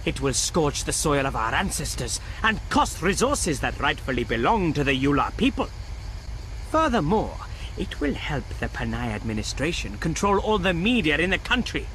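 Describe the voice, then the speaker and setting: Grandiose island rebel leader, swooping voice. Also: male voice, on the high side, sing-song, normal speed, a bit bright, a little hiss or hum. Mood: grandiose, urging. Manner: florid, formal, dramatic phrasing. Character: theatrical, scheming male island rebel leader, grandiose and indignant. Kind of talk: video game cutscene acting. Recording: music behind the voice.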